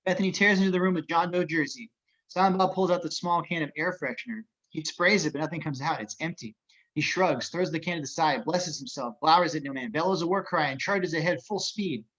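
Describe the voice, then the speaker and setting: A man's voice: on the high side, fairly quick, a bit bright, muffled recording. A man narrating the action of a script as reader of stage directions in an amateur table reading.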